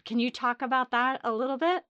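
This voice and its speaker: A woman's voice: high-pitched, fairly quick, sharp tone, clear, resonant tone, no echo, lo-fi sound. A woman from the Boston area co-hosting a casual podcast, chatty and enthusiastic. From Boston area, United States. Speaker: podcast co-host, actor and writer.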